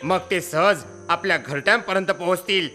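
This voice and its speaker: A man voicing a cautious, commanding parrot leader in an animated children's story. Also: high-pitched, moderate pace, soft background music. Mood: earnest warning.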